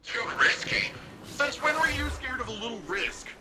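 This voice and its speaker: A man's voice: extremely high pitch, animated, normal speed. A man voicing a brash, eager young hero of a futuristic city in a video game, with a breezy, informal delivery. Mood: defiant. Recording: music playing behind.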